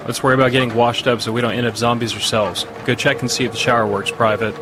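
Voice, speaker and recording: An actor playing a decisive, no-nonsense army major giving orders in an audio drama. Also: male voice, medium pitch, fairly even intonation, quick pace, background noise, natural voice. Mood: commanding.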